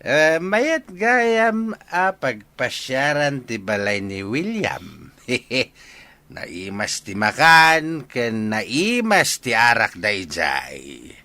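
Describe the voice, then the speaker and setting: A man plays a brash, boozy father who sponges off others. His Ilocano-accented drawl is slow and swings widely in pitch, bright and boastful, in radio comedy style. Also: big pitch swings, dry recording, full character voice. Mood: boozy, pleased. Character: boozy, brash freeloading father, cheerful and demanding.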